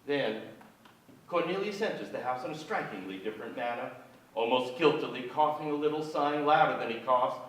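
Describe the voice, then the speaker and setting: An actor in his sixties playing a reflective son who recounts his troubled family in a measured literary stage reading. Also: medium pitch, close to an everyday voice. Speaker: actor reading a short story. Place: on a theater stage, indoors. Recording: very echoey theater, distant, noisy.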